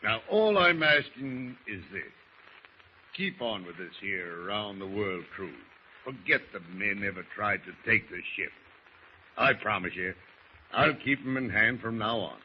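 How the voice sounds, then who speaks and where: An actor playing a scheming, folksy seafaring ship's master who speaks in salty dialect in an old radio drama. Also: male voice, on the low side, animated, a little slow. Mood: wheedling, folksy. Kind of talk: 1940s radio drama acting. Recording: muffled, noisy old radio recording.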